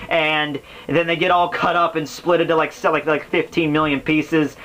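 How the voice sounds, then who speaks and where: A man's voice: on the high side, level tone, normal speed, fairly bright tone, rough recording. A man giving casual, unscripted explanatory commentary as a host, rambling and slangy in his delivery. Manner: rambling, slangy, with exaggerated exasperation. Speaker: host of a book summary series.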